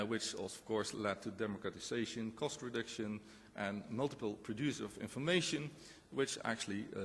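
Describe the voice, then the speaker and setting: A man with a Dutch accent, a Dutch native speaker, giving a relaxed conference talk with many hesitations. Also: on the low side, gravelly. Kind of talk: conference presentation. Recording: echoey conference hall.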